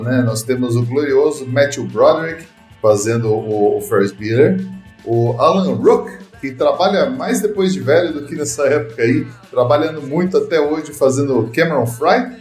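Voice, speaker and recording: A man's voice: mid-range voice, animated, moderate pace, roomy sound, faint music behind. A man with a Brazilian Portuguese accent hosting a casual film podcast, enthusiastic and full of "cara" and "né". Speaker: podcast host.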